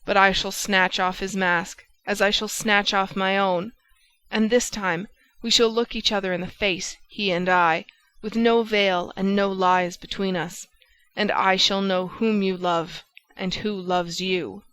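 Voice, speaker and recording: A woman's voice: mid-range voice, fairly even intonation, unhurried, a bit bright, smooth, close to an everyday voice. A woman reading the part of an impassioned, jealous young man who hurls angry accusations. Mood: jealous fury.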